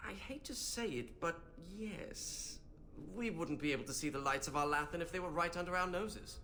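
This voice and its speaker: A fussy young scholar mage from a fantasy game. His pitch moves around a lot as he explains things in an earnest, pedantic, slightly timid way. There is faint noise behind him. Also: lively intonation, moderate pace, sharp tone. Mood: hesitant, explaining. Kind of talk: video game character acting.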